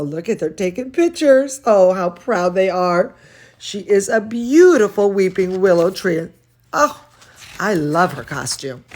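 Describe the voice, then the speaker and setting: A woman reading a bedtime storybook aloud to children, expressive and cooing, speaking as if to very young listeners. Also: medium pitch. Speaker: children's storyteller, dance studio teacher.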